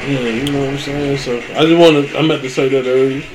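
A man co-hosting a podcast, talking casually in an animated way, often laughing and shouting. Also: medium pitch, fast talker, very noisy. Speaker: podcast co-host. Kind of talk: casual chat.

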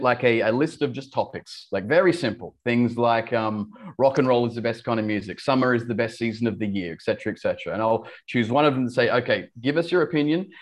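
A man with a light Australian accent that sometimes sounds English, a teacher chatting as a podcast guest, full of restarts and fillers. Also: medium pitch. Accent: light Australian, partly English-sounding. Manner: chatty, frequent restarts and fillers, laughs easily. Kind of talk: casual podcast interview.

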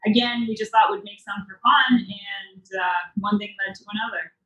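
A woman from Massachusetts in her thirties, a business co-founder and podcast guest telling her story in a lively, quick way. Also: medium pitch, reverberant, highs cut off. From Everett, Massachusetts. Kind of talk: casual interview chat.

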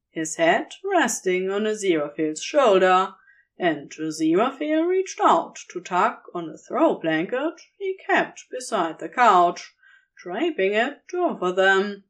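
A woman reading scripted fiction as the narrator of an audio story. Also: medium pitch.

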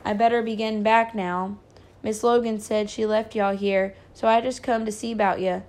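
A man's voice: high-pitched, level tone, moderate pace, natural voice. A woman voicing an earnest, slightly puzzled country boy in an audiobook. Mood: earnest, matter-of-fact. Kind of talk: audiobook character dialogue.

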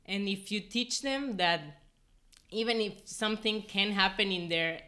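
Bright-voiced young woman, earnest and informal. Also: mid-range voice, a little slow. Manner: earnest, informal, frequent "like" and "you know". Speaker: podcast guest, former volunteer. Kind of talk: casual personal storytelling in an interview.

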